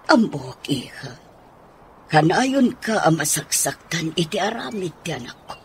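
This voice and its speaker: A woman's voice: sits low, sing-song, a little slow. A woman with an Ilocano accent acting as an older, sympathetic mother-in-law who is indignant at her son, in a radio drama. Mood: hushed, pitying. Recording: noticeable background noise.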